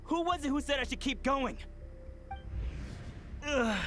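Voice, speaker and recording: An actor playing a desperate, secretive male scientist in a video game, speaking in an agitated, halting, dramatic way. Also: very high-pitched, lively intonation, bright, forceful tone, soft background music, heavily acted. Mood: bitter. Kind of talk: English video game voice acting.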